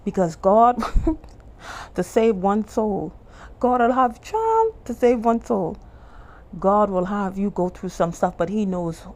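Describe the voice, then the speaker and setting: A woman from the Bahamas with a Bahamian accent, telling a church testimony in casual, animated talk and jumping high when she quotes people. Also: takes it slowly. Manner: animated, acts out quoted lines in a much higher voice; restarts and trails off often. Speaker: testimony vlogger.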